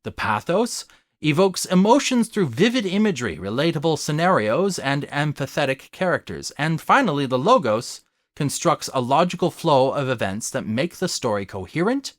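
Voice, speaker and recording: Male essay narrator, mid-pitched and animated, formal and earnest like a lecturer, recorded dry. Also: normal speed, dry recording. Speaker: video essayist. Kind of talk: scripted argumentative narration.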